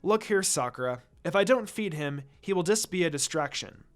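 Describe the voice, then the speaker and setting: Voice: mid-range voice, expressive, moderate pace, soft background music, lightly acted. A narrator voicing a determined, hot-tempered young ninja girl in a story reading, insistent and scolding. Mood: confident.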